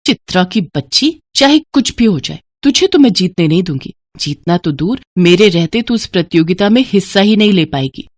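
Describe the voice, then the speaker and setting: Jealous scheming neighbour woman, storybook villain, played by a female narrator, vindictive and animated. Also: lowish voice, normal speed, hardly any pauses. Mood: spiteful.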